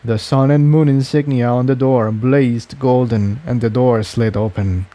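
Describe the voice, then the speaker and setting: A man's voice: medium pitch, fairly even intonation, a little slow, dark tone. A man reading a scripted story aloud as its narrator. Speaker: fan fiction narrator.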